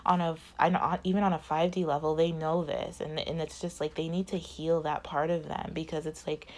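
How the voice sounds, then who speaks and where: A woman's voice: low voice, flat delivery, fairly quick, a little mellow. A woman giving a casual tarot reading, rambling with many fillers while losing her voice. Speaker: tarot reader.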